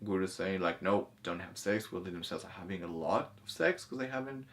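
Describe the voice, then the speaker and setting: A man co-hosting a casual review conversation, speaking haltingly and rephrasing as he goes. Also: low voice, expressive, a bit bright. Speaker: co-host of a movie review. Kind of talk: casual conversational discussion of film and spirituality.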